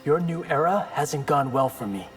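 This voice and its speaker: A man's voice: fairly high pitch, expressive, normal speed, soft background music, close to an everyday voice. Man as a defiant young warrior, reverberant. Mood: defiant, wry. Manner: dry, defiant, self-assured. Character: defiant, wry young male crime-fighting warrior. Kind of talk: fighting game dialogue acting.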